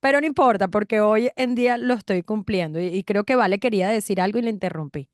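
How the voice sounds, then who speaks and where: A woman co-hosting a podcast, chatting casually and personally in an expressive manner. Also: medium pitch, fast talker, no room sound, clean studio sound.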